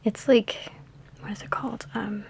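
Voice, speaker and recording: A woman in an ASMR roleplay speaking in a soft, breathy whisper mixed with hushed talk, with a sassy, teasing, chatty big-sister manner, close to the microphone. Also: on the high side, fairly even intonation, moderate pace. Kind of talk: ASMR personal attention roleplay.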